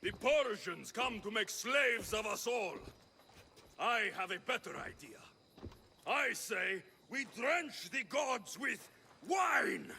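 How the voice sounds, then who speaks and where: An actor playing a bold, wry young mercenary in a video game cutscene. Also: male voice, high voice, very animated, slow, vocal fry, sharp tone, a little hiss or hum, full character voice. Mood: declaiming on stage.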